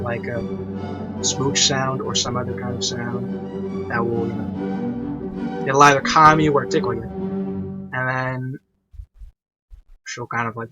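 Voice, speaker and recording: A man hosting a casual, unscripted review, rambling and repeating himself to make his point. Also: mid-range voice. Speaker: review show host, online reviewer. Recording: dull lo-fi mic, music behind. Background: orchestral and piano classical music.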